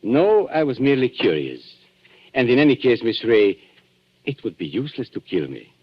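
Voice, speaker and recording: An actor playing a smooth, mocking blackmailer with theatrical politeness in a 1940s radio thriller. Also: male voice, medium pitch, wildly swooping pitch, normal speed. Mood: smug. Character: suave, sneering male blackmailer posing as antique dealer. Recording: muffled 1940s radio recording.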